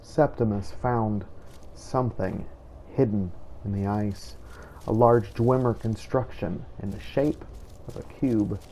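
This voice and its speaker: Wry, weary male vampire hunter for roleplay game narration, acted by a man: dark, mellow tone, deliberate and unhurried, faint noise behind. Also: lowish voice, gravelly, rough recording.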